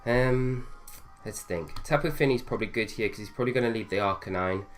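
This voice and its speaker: Young man, lowish voice, nasal with a cold, hesitant casual game commentary, faint music under him. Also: brisk. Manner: thinks aloud, hesitant with fillers. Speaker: gaming video host.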